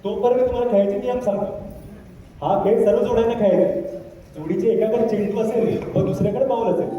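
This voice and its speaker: A man's voice: extremely high pitch, fairly even intonation, quick pace, dull audio, background noise. A man acting as a courteous game show host in a stage play, speaking like an announcer. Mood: announcing. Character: polite male host of a family home game show. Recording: echoey hall, distant microphone.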